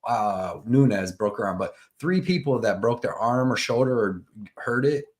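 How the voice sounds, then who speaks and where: A man's voice: mid-range voice, animated, a little slow. A man in his early forties from Ohio, co-hosting a casual live stream in a laid-back way, saying "dude" a lot. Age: 40s. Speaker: co-host.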